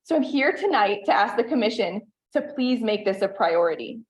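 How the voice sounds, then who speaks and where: A woman in her thirties from western Pennsylvania, reading a prepared public comment at a town meeting in an earnest, measured way. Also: fairly high pitch, animated. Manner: earnest, measured, reads from notes. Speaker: resident giving public comment.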